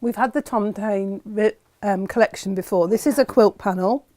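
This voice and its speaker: A softly spoken woman co-hosting a live craft shopping show and describing fabrics. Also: medium pitch, normal speed.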